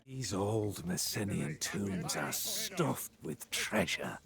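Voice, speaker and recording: Slow, gravelly, sneering Spartan warrior. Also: male voice, fairly low pitch, wildly swooping pitch, a crowd in the background, full character voice. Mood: cold contempt. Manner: disdainful, sneering. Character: contemptuous, hard-hearted Spartan warrior, scornful of serfs. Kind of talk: theatrical historical video game acting.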